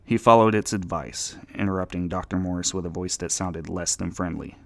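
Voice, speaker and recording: A man's voice: low voice, brisk, creaky, a bit bright, no echo. A man narrating fiction as a dramatic scripted audiobook reading.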